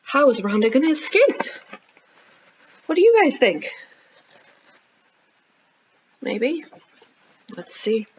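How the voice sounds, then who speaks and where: A woman leading a storytime for young children as a librarian, talking directly to the kids with questions and pauses. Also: high-pitched, very animated, slightly rough, dull audio. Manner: child-directed, asking questions and pausing for answers.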